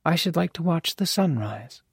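A woman's voice: low-pitched, animated, normal speed, dry recording, studio quality, voice pushed up, exaggerated voice. An audiobook narrator voicing a fond, wry older mother, a formal and imperious woman who teases as she talks. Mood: gentle, composed. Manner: formal, crisp, teasing phrasing. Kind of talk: audiobook character dialogue.

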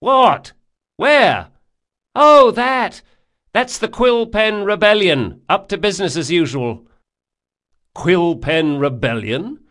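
A man's voice: high-pitched, lively intonation, slow, bright, forceful tone, pitched above the performer's own voice, exaggerated voice. A man voicing a blunt, chatty working boatman in an audiobook, colloquial and opinionated. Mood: excited, explaining. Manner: colloquial, dropped words, exclamatory.

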